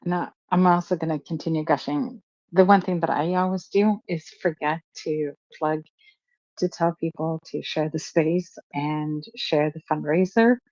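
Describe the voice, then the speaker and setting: A woman's voice: low-pitched, fairly even intonation, measured pace, a little mellow, no room sound, highs cut off. A woman from Sweden, a native Swedish speaker, co-hosting a casual chat. She gushes and chats freely, with hesitant fillers. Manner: gushing, chatty, with hesitant fillers. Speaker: co-host.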